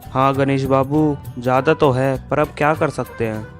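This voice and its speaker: A man's voice: mid-range voice, fairly even intonation, moderate pace, natural voice. A man acting a meek, earnest cartoon milkman. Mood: apologetic. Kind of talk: Hindi moral-story cartoon dialogue. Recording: music behind the voice.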